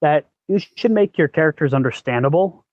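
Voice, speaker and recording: A man hosting a podcast, giving casual advice in a choppy, halting cadence. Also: fairly high pitch, expressive, a little mellow, dry recording. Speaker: podcast host. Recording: dull, lo-fi close audio.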